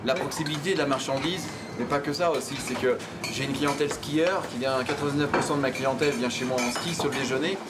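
Young chef explaining in a kitchen. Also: male voice, medium pitch, fast, hardly any pauses, over loud music. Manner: hesitant, with fillers. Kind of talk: interview. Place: restaurant kitchen indoors.

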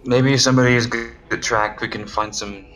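A man's voice: mid-range voice, fairly even intonation, brisk, fairly bright tone. A man playing a tabletop game, chatting casually in a playful, questioning way. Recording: music behind the voice. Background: background music.

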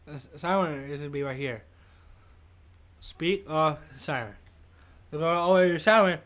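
A young man vlogging from his living room, excitedly talking through a handheld bullhorn and often repeating and restarting his sentences. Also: fairly high pitch, normal speed. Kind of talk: casual vlog to camera. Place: living room at home, indoors. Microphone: camera on tripod, talks through a handheld bullhorn.

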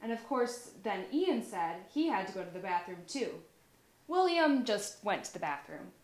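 A woman's voice: medium pitch, normal speed. A young woman reading a children's story aloud expressively in a living room, acting out the dialogue. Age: young adult. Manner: animated, acts out dialogue. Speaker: storybook reader, high school senior. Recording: roomy indoor echo.

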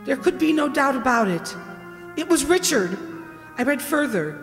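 Woman acting a frightened wife, high-pitched. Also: big pitch swings. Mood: dread. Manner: halting, anxious, dramatic. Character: frightened, distraught wife narrating a horror tale. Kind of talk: old-time radio mystery acting. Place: stage in a hall, live reading. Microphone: stand microphone. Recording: echoey hall stage performance, music behind.

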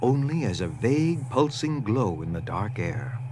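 A man's voice: on the low side, sing-song, unhurried, noisy background. A man reading scripted narration for a dramatized story. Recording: music under the voice.

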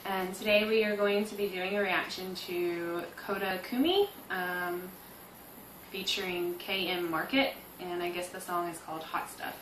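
A woman's voice: medium pitch, animated, moderate pace, fairly bright tone, a little hiss or hum. A young woman co-hosting a reaction video, talking casually and laughing often. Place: small room with plain wall. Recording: echoey room, music playing behind.

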